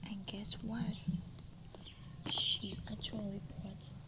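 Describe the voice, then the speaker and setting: A woman telling a folk tale in a soft, unhurried whisper. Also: mid-range voice, flat delivery, very noisy. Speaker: storyteller. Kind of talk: whispered storytelling.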